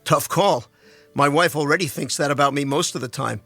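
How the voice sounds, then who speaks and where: A man's voice: a little high, fairly quick, bright, forceful tone, no echo, faint music behind. A man playing a curious, joking married teacher in a dramatic reading, with an inquisitive, wry manner. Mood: wry, teasing.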